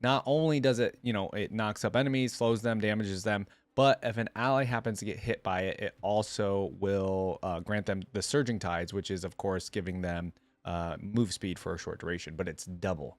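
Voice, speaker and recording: A man hosting a reaction video, talking casually as he thinks out loud and reads text from the screen. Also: on the low side, fairly even intonation, normal speed, no room sound.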